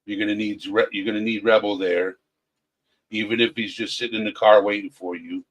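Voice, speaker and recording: A man's voice: on the low side, steady tone, a little fast. A man guest in a casual chat, giving blunt, earnest advice and repeating points for emphasis.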